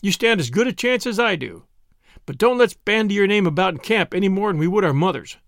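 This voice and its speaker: A man's voice: high voice, a bit bright, no room sound, studio quality, voice pushed up, heavily acted. A man voicing an earnest young soldier who speaks firmly to his comrades. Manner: firm, earnest. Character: earnest young male soldier, steady and loyal.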